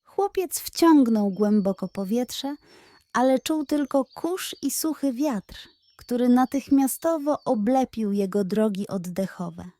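A woman's voice: fairly high pitch, expressive, slow, warm tone, no echo. A woman narrating a scripted bedtime story for children.

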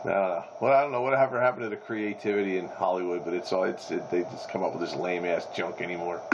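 A man's voice: low-pitched, steady tone, fast. A man chatting casually and wryly as an operator on a two-way radio. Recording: noisy, muffled two-way radio audio.

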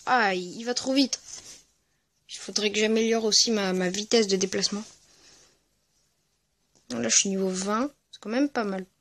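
A gaming video creator giving casual, hesitant and rambling commentary over gameplay, speaking in a medium-high voice. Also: fairly even intonation, normal speed, pauses often, fairly bright tone. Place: at home, voice-over on mobile gameplay recording.

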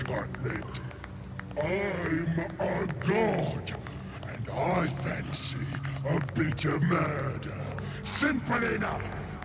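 A bloodthirsty fey creature passing itself off as a murder god in a fantasy game. The voice is high and slow, swooping widely with theatrical, taunting menace. Loud music plays behind it. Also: male voice, exaggerated voice. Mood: gloating menace. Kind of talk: fantasy video game acting.

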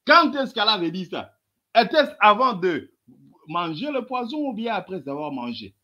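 A man with a high voice that swings widely as he mocks a replayed debate. He talks emphatically, drawing out his words and pushing his listeners to keep following. His delivery is sarcastic and full of teasing asides. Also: sing-song, moderate pace, bright, forceful tone, dull audio. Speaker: host commenting on a replayed debate. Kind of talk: mocking commentary over replayed clips.

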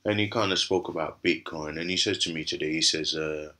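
A man with a very low, raspy voice that sounds hoarse from a cough. His tone is bright and forceful despite the gravel, and he rambles through casual commentary with plenty of fillers and restarts. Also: a little fast. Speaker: crypto channel host. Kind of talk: casual commentary over screen recording.

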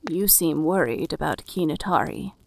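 A woman's voice: low voice, moderate pace, gravelly. A woman playing a composed island leader in a fantasy audio drama, commanding and authoritative.

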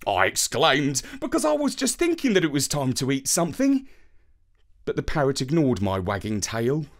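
Animated male bedtime storyteller. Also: mid-range voice, moderate pace. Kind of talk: scripted first-person children's story narration.

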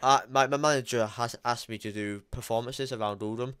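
A man's voice: medium pitch, steady tone, a little fast, a bit bright, a little hiss or hum. A young man talking casually on a call from his home gaming room, blunt and emphatic, swearing now and then. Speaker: YouTuber.

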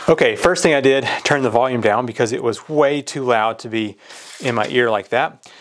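An American man in his thirties giving a casual, hands-on product review. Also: mid-range voice.

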